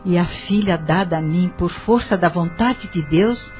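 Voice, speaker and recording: A woman's voice: lowish voice, a little slow, darkish tone, soft background music, natural voice. An actress playing a grieving, remorseful mother in an audio drama monologue. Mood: anguished remorse. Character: grief-stricken, remorseful mother mourning her daughter.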